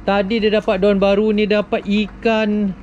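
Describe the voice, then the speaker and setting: A man's voice: high voice, monotone, normal speed, darkish tone, lo-fi sound, slight background noise. A young man with a Malaysian Malay accent, chatting casually to viewers while fishing at night on a wooden sea platform, filmed on an action camera. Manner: upbeat, chatty, addresses viewers as guys. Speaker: fishing vlogger. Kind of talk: casual vlog commentary.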